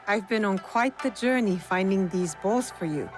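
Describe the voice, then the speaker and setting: A young actress playing a direct, earnest warrior heroine in a video game. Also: female voice, mid-range voice, animated, normal speed, over music, lightly acted. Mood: kind, calm. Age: young adult. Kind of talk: video game cutscene acting.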